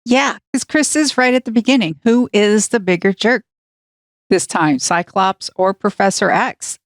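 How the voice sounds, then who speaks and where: A woman co-hosting a fan podcast in casual chat, animated and acting out lines in quotes. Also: medium pitch, unhurried, no echo, studio quality. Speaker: podcast co-host.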